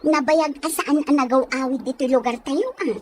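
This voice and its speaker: A woman's voice: high voice, moderate pace, slight background noise, natural voice. A woman with a Filipino Ilocano accent playing a frank, nosy young village friend in an audio drama. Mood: prying.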